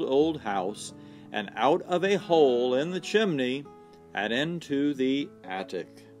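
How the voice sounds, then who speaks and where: A man reading a children's storybook aloud as storyteller, expressively, lingering on words for effect. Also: mid-range voice. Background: soft guitar music.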